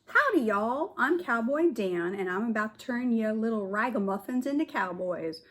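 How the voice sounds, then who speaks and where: A woman's voice: on the high side, expressive, normal speed, fairly bright tone. A woman voicing a hearty, folksy cowboy camp leader man from a storybook, commanding in a Western drawl.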